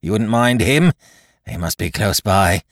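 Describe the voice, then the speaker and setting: A man voicing an enraged blind old pirate leader in an audiobook, barking cruel commands in a gravelly shout. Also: fairly low pitch, expressive, no room sound, studio quality. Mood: scornful rage. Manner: shouted commands, drawn-out angry exclamations.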